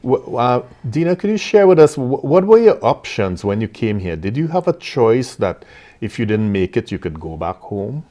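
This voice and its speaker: A man's voice: mid-range voice, big pitch swings, normal speed, dark tone. A man from Trinidad with a Trinidadian accent, hosting an interview and asking long questions padded with "you know". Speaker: interview host.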